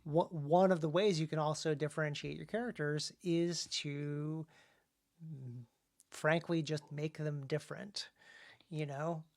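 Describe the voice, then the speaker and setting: An American man hosting a casual discussion as an author, thinking aloud in a halting way with emphatic stresses. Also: a little high, steady tone. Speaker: podcast host and author.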